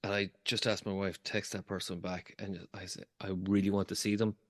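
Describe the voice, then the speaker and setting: Low-voiced man host in a reverberant room. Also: level tone, fairly quick, a bit bright. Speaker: podcast host. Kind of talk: interview questions and personal story.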